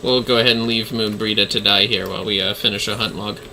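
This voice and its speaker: Very bright-voiced man streaming a game. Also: fairly low pitch, steady tone, fast talker, vocal fry, slight background noise. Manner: playful, theatrical asides. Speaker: livestreamer. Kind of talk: casual game stream commentary.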